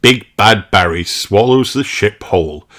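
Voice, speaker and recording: A man reading a children's book aloud in an expressive storytelling style. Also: fairly low pitch, a little slow, faint music behind.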